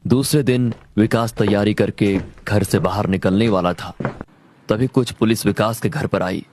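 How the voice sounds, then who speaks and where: A man's voice: on the low side, normal speed, a little hiss or hum. A man narrating a scripted horror story as a storyteller. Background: loud background music.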